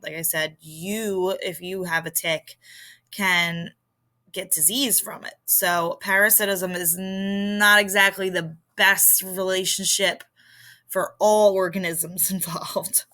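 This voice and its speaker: A woman teacher with a Philadelphia accent explains vocabulary in an informal recorded lesson. Her voice is bright and forward, and she takes her time, addressing students in a conversational way. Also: mid-range voice, steady tone, slow pace. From Philadelphia area, United States.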